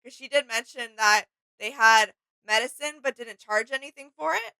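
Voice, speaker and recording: A young woman speaks in a high, bright voice. She chats casually and agreeably as a co-host, picked up cleanly with little room sound. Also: moderate pace, no echo, poor audio. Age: young adult. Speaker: reaction video co-host. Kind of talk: casual reaction chat. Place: small home studio room.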